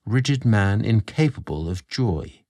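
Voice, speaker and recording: A British man narrating a scripted story, theatrical and deliberate in his phrasing, drawing out words as a podcast host. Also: on the low side, animated, darkish tone, no room sound, studio quality.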